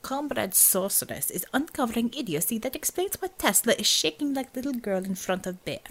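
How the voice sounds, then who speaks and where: A woman's voice: on the high side, sing-song, a little fast, voice pushed up. Woman putting on a fiery Russian woman commissar. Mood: sour. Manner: ranting, scornful, broken English.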